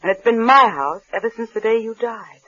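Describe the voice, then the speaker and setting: An actress playing a cold, domineering wife in a 1950s radio suspense play, imperious and cutting. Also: female voice, fairly high pitch, brisk, lightly acted. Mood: cold, commanding. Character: cold, domineering wife scolding her husband. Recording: noisy, muffled old radio recording.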